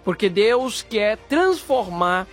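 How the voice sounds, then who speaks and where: A man with a high-pitched voice and a Brazilian accent preaches slowly and solemnly. He exhorts the listener in deliberate phrases over background music. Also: big pitch swings, a bit bright. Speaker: preacher, religious program host. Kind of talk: sermon, exhortation.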